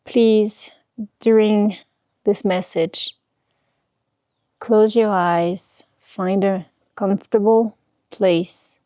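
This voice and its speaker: A woman leading a guided spiritual meditation, speaking in a soothing, deliberate way with pauses between short phrases. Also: mid-range voice, fairly even intonation, dark tone, no room sound, clean studio sound.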